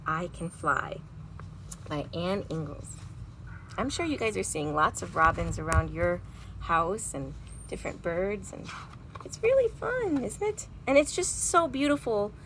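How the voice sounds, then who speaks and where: A woman's voice: fairly low pitch, sing-song, unhurried, fairly bright tone, rough recording. A woman hosting a storytime for young children, chatting expressively and putting simple questions to her listeners. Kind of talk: read-aloud and chat to young children. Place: outdoors at home. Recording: outdoor background noise.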